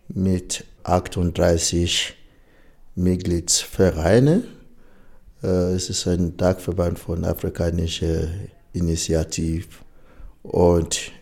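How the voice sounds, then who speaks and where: A man with a Nigerian accent speaking spontaneously in an interview, halting as he searches for words. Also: low-pitched, creaky, darkish tone. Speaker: association chairman and diversity trainer.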